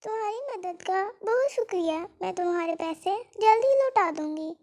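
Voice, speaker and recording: A performer voicing a gentle, worried mother bird in a children's cartoon, speaking politely and pleadingly. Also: extremely high pitch, expressive, normal speed, pitched above the performer's own voice. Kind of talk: children's cartoon story dialogue.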